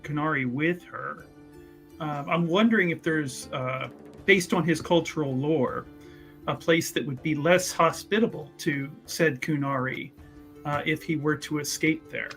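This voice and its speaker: A man's voice: fairly high pitch, a bit bright. A man chatting casually as a tabletop game player, jokey and thinking aloud in stop-start phrases. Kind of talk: casual improvised game chat.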